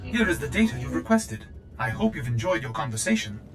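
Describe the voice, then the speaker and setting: An actor voicing a polite, formal messenger droid in a video game, stiffly courteous. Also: male voice, lowish voice, very animated, brisk. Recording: loud music underneath.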